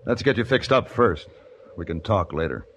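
An actor playing a laconic, steady investigator in an old radio western, with an American accent and a terse, unruffled delivery. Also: male voice, on the low side, normal speed, over loud music, natural voice. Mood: terse, calm. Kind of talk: old-time radio western acting and first-person narration.

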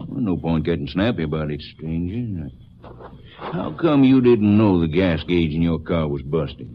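An actor playing a stubborn older small-town Texas constable with plain, folksy country talk in an old radio crime drama. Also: male voice, sits low, expressive, unhurried. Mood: stern, wary. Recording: muffled, noisy old radio recording.

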